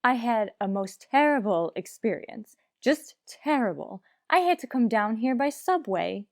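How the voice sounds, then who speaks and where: An actress playing a haughty, fussy society matron with affected, melodramatic upper-class diction in old-time radio comedy. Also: female voice, a little high, big pitch swings, a little slow, dry recording, studio quality. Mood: melodramatic, suffering. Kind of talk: 1940s radio comedy acting.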